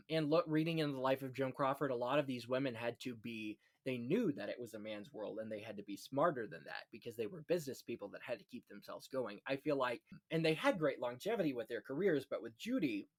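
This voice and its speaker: A man hosting a casual film history chat, telling anecdotes in a gossipy, conversational way. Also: medium pitch. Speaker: podcast host.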